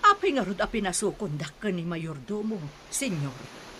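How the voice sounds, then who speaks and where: A woman's voice: on the low side, big pitch swings, normal speed, fairly bright tone, slight background noise. A woman with a Filipino accent playing a humble, worried mother defending her son in a radio drama. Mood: pleading, indignant.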